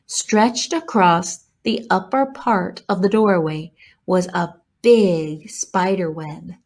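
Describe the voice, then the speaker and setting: A woman narrating a children's storybook as a scripted read-aloud. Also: mid-range voice. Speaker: storybook reader.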